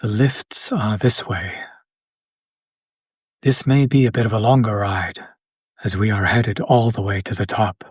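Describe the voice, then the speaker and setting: A man's voice: lowish voice, steady tone, a little slow, long pauses, slightly rough, no echo, studio quality. A man playing a charming, old-world vampire in a romantic audio roleplay, speaking to his beloved in formal, attentive, archaic phrasing. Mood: charming, reassuring. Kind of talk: romantic audio roleplay, intimate scripted acting.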